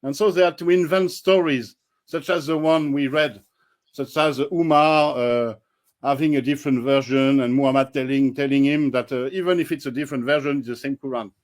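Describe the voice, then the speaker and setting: A man, a guest researcher on a panel, explaining things in a blunt, firm and measured way. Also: medium pitch. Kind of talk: casual panel discussion.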